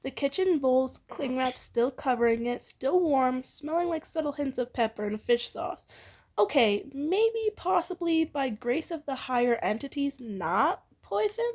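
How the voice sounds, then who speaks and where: A woman's voice: sits high, very animated, a little slow, darkish tone. A woman co-hosts a fan fiction reading, narrating playfully with giggly, teasing asides. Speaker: fan fiction reader and co-host. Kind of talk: playful co-read story narration with banter.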